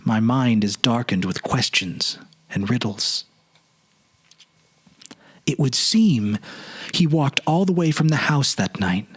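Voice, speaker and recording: A man giving a dramatic literary narration in a solemn, measured, pensive manner. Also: mid-range voice, lively intonation, fairly bright tone, breathy edge. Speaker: narrator, series producer and presenter.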